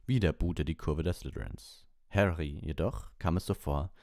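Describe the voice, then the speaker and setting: Deep-voiced man narrating an audiobook. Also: normal speed, gravelly, a little mellow, no echo, studio quality. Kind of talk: scripted story narration.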